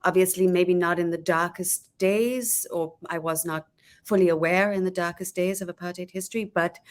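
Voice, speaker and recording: A woman's voice: fairly low pitch, fairly even intonation, normal speed. A middle-aged woman with a South African accent, speaking thoughtfully as a panelist in a discussion. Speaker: panelist, scholar. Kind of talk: panel discussion, considered talk.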